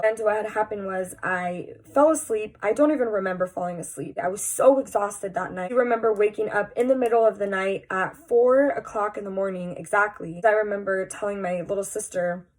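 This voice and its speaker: Congested young woman talking candidly. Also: medium pitch. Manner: candid, earnest, conversational. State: congested, sounds nasal from a cold. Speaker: vlogger. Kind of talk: personal confessional vlog.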